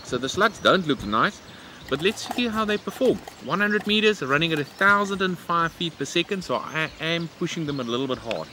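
A middle-aged man presenting a shooting vlog in a casual, expressive way, talking straight to his viewers. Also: on the high side, moderate pace, noisy background. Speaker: host of an airgun shooting channel.

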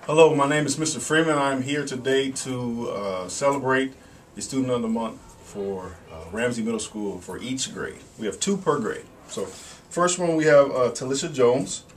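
A man's voice: mid-range voice, normal speed. An American man in his thirties presenting school awards and reading citations aloud. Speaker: school staff member presenting student awards. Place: wood-panelled office, indoors. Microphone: camera at a distance. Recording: slightly noisy room, distant mic.

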